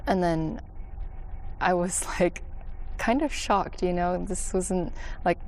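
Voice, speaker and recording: A woman's voice: fairly low pitch, gravelly, darkish tone, a little hiss or hum, poor audio. A young woman telling her story in an interview, hesitant and full of "like" fillers. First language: English. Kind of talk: personal account in interview.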